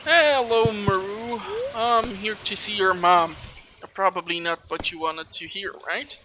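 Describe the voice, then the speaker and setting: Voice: medium pitch, measured pace. A gaming video host giving casual let's play commentary, chatty and rambling while thinking aloud. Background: video game music.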